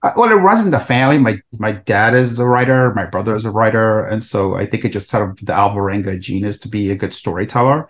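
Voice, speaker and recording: A middle-aged man from El Salvador speaks as an interview guest, his voice sitting a bit low. He answers thoughtfully and in a conversational tone, and his phrases often fade away softly at the end. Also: fast talker, hardly any pauses. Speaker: author and researcher guest.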